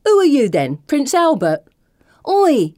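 Woman as a brash, high-voiced factory girl. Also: very animated, normal speed, dark tone, no echo, clean studio sound, close to an everyday voice. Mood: brash teasing. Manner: cheeky, blunt. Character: rowdy, brash working-class factory girls, teasing and scolding. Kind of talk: audiobook character voice.

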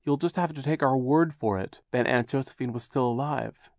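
A man's voice: medium pitch, normal speed, darkish tone, dry recording, clean studio sound, voice pushed up, close to an everyday voice. A man voicing an earnest, defiant young orphan boy in a storybook reading, urgent and frustrated. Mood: bitter insistence. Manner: urgent, indignant, insistent.

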